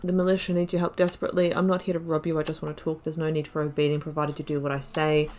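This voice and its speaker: Lowish-voiced woman playing games. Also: level tone, rapid-fire, a little mellow, a little hiss or hum. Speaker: gaming video host. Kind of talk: casual let's play commentary, reading dialogue options aloud.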